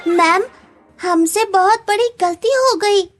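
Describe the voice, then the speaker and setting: A woman's voice: very high-pitched, slow pace, faint music behind. A woman voicing an earnest, anxious young schoolchild in a children's cartoon. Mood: worried, guilty.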